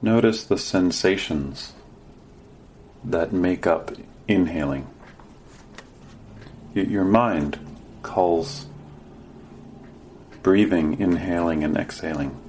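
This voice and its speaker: Slow, very low-voiced man guiding meditation. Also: a little hiss or hum. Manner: gentle, sparse instructions with long pauses. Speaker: meditation teacher. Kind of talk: guided meditation.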